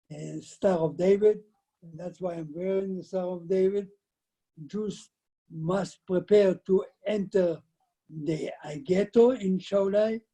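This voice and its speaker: Man in his nineties, from Lithuania, high-pitched, slow and halting, interview guest, video call. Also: mellow, slightly rough, poor audio. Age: 90s. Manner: halting, with repeated words and broken sentences. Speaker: guest, survivor giving testimony. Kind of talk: recounting life story in an interview. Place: indoors at home, by shelves of framed photos. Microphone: video call, computer microphone.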